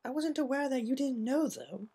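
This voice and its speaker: An elegant lady unicorn from a storybook, acted out by a woman reader. Her pitch is lifted high and moves freely. She is polite and composed, with a caring, ladylike way of phrasing things. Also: expressive, dark tone, husky, heavily acted. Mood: gentle, composed. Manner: refined, polite, measured phrasing.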